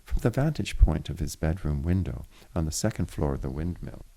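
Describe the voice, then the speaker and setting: A man with a very low, dark voice reads a literary story aloud. His delivery is formal and deliberate, with a gravelly creak running through it and now and then a small stumble. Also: lively intonation. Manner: formal, deliberate reading with occasional stumbles and retakes. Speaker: reader of a story chapter. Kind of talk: literary audiobook narration.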